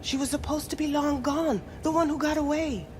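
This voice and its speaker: An actress playing a wistful, rueful club worker in a video game, speaking casually and confidingly. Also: female voice, high voice, fairly even intonation, moderate pace, background noise, lightly acted. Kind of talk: video game cutscene acting.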